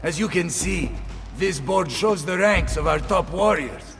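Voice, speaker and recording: A man's voice: sits high, unhurried, gravelly. A man playing a boisterous middle-aged Italian mercenary captain in a video game, with an Italian accent and a hearty, bombastic delivery. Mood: boastful, hearty. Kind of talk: video game dramatic acting. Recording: long reverb under loud game music.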